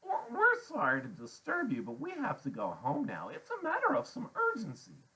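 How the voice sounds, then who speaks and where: High, chattery frightened little boy. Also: huge pitch swings, moderate pace, noisy background, exaggerated voice. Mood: anxious, pleading. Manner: formal wording, nervous stammer. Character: small, bright, frightened boy speaking over-politely.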